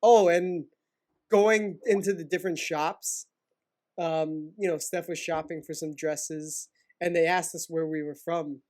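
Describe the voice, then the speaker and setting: A man in his thirties who grew up in Hawaii, co-hosting a casual podcast, excitable and quick to laugh. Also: on the high side. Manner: excitable, laughs often, says "man" and "dude". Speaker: podcast co-host. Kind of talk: casual chat.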